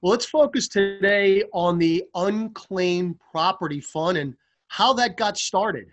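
A man's voice: high-pitched, slow pace, muffled recording. A man hosting a podcast, interviewing guests in a polished sports-broadcaster style.

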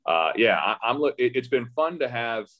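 A man's voice: medium pitch, very animated, normal speed, fairly bright tone. A man, a sports announcer appearing as a guest, talks casually about the game, thinking aloud with frequent restarts. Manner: hesitant, conversational, thinking aloud with false starts. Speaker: radio play-by-play announcer as podcast guest. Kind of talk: casual sports interview talk.

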